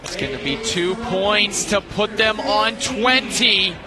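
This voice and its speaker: A man's voice: high voice, slow, over a noisy crowd. A man working as a color commentator on a live championship broadcast, explaining the stakes with dramatic emphasis. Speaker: color analyst sports commentator. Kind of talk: live TV analysis of a cornhole championship. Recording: clear venue noise behind the voice. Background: noisy venue ambience.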